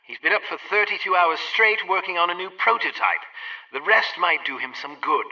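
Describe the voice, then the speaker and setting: An actor playing a brisk gadget-lab instructor in a video game, giving precise, emphatic training directions. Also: male voice, sits high, animated, bright, forceful tone, recorded from a distance, lo-fi sound, full character voice. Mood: brisk, instructive.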